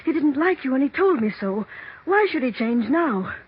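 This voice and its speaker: An actress playing an elderly, ailing mansion mistress in a 1940s radio drama, halting, troubled and suspicious. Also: female voice, high voice, very animated, husky, exaggerated voice. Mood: suspicious, bewildered. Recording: narrow, noisy 1940s radio recording.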